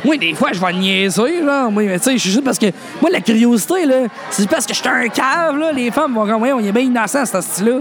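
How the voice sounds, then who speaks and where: A man's voice: very high voice, expressive, brisk, few pauses, fairly bright tone. A young man with a Quebec French accent, co-hosting a casual podcast chat in a busy bar, joking and swearing freely. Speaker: podcast co-host. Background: bar crowd chatter.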